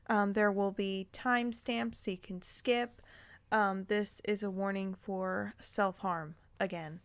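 A woman reading a story aloud informally, breaking in with expressive, amused reactions and exclamations. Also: medium pitch, slow, dry recording. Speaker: host reading fan fiction aloud with commentary.

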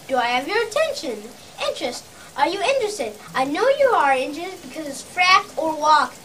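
A child playing a shrill, ruthless sales boss. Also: pushed and bright, full character voice. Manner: barking, taunting, emphatic. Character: ruthless, bullying sales boss berating his underperforming salesmen. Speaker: performer in a parody monologue. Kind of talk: theatrical monologue in a puppet parody. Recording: distant mic, faint background noise.

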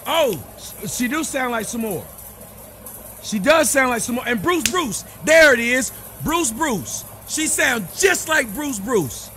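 Ein Mann mit sehr hoher, lebhafter und heller Stimme moderiert einen Livestream und macht sich mit spöttischen Zwischenrufen über eine Anruferin lustig. Sein Ton springt weit auf und ab. Ein leises Rauschen liegt unter der Aufnahme. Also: male voice, very high-pitched, expressive, slow pace, a little hiss or hum. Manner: mocking, loud asides. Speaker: live stream host. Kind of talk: mocking live-stream commentary.

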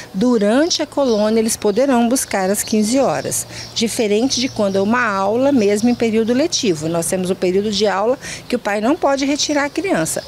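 A woman's voice: a little high, darkish tone. A middle-aged Brazilian woman, an official giving explanatory answers in an outdoor interview. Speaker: education department director. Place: outdoors under a covered yard. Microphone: reporter's handheld microphone. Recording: faint outdoor background noise.

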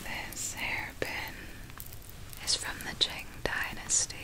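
A woman playing a wicked female vampire in a whispered ASMR roleplay, breathy and deliberate as she savors her storytelling. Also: close to an everyday voice, poor audio. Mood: hushed, reminiscing.

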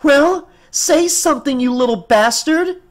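A man's voice: high-pitched, unhurried, fairly bright tone, pitched above the performer's own voice. A man voicing a distraught, exhausted mother in an audiobook, with a pleading, exasperated manner. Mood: angry, exasperated. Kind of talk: audiobook character acting.

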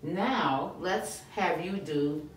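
A woman's voice: deep voice, extremely slow. A middle-aged woman, a preschool teacher, giving encouraging instructions through a face mask in a role-play with a child. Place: small school entry room, indoors. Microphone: distant camera microphone. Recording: very echoey room, distant, faint noise.

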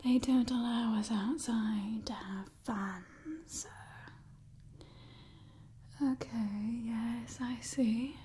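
Whispering, imperious vampire woman acted by a woman, slow. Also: fairly high pitch, steady tone, mellow, slight background noise. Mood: doting, appraising. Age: young adult. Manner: breathy whisper, slow and theatrical. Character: imperious, doting old vampire woman, overseer of her clan. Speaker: ASMR performer. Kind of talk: whispered ASMR roleplay.

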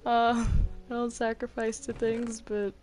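A woman chatting casually and a little haltingly while playing a game with friends. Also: fairly high pitch, little pitch movement, a little mellow, faint music behind, poor audio. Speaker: player in a Minecraft roleplay group. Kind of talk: casual gaming chat.

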